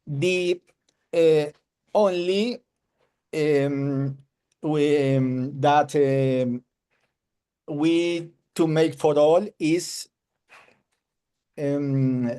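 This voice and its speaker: A man from Colombia whose first language is Spanish, with a Colombian Spanish accent, giving a halting testimonial in broken English over a video call. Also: fairly high pitch, steady tone, dark tone, clean tone. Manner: hesitant broken English, searching for words. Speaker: webinar attendee giving a testimonial.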